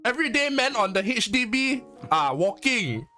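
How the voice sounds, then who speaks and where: A man doing a brash middle-aged uncle with a thick Singaporean accent, the voice pushed well above his natural pitch. The lines come out clipped and sing-song, with faint music playing underneath. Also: sing-song, moderate pace, bright, forceful tone, exaggerated voice. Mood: mocking, playful.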